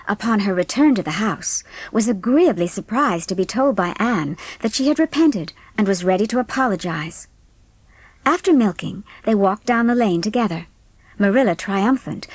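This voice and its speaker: A woman's voice: mid-range voice, a little mellow, no room sound. A woman reading scripted narration for a dramatised classic audiobook.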